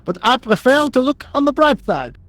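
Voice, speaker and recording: A man's voice: very high-pitched, big pitch swings, normal speed, fairly bright tone, full character voice. A man playing a deferential, earnest and rather dim French police assistant with a French accent in a video game. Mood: eager, flustered. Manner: deferential, earnest, literal-minded.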